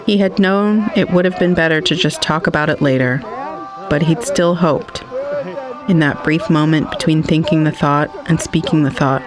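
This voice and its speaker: A man reading scripted literary narration for an audio drama in a measured, wistful manner. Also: on the high side, over music, poor audio.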